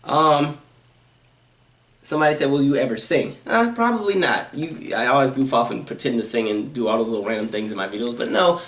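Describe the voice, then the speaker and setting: A man with a high, nasal voice, rambling quickly through viewer questions in a casual vlog. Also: animated, a bit bright, echoey room. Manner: talks fast and rambles, with many fillers and asides. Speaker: YouTuber answering viewer questions.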